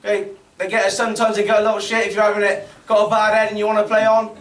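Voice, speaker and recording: A man's voice: high-pitched, completely flat delivery, racing. Man joking through a rowdy club speech. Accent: English. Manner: joking, teasing the crowd. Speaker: club captain giving awards speech. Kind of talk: informal banter-filled speech. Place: large function room at a dinner. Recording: echoey room, far mic, background noise.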